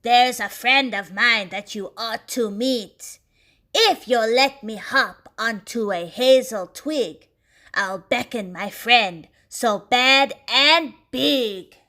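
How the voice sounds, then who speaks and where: A woman's voice: a little high, slow pace, sharp tone. A woman voicing a small, clever, confident storybook mouse with a persuasive manner. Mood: confident, coaxing.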